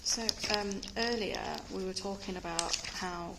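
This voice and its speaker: A woman interviewing a group, asking gentle, prompting questions. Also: mid-range voice, fairly even intonation, measured pace. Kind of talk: community interview. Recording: noisy room recording.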